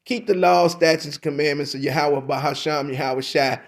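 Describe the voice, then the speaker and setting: Man, high voice, preachy, repetitive, reads verses slowly, scripture lesson. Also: steady tone, creaky. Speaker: religious teacher.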